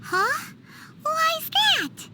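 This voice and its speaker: A tiny floating fairy sidekick from a video game. The voice is squeaky, childlike and very high, with lively, swooping pitch. The creature is chatty, cheeky and excitable, heard over loud noise. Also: female voice, very slow, heavily acted. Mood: surprised, teasing. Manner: speaks of self in third person, excitable. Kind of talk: video game character dialogue.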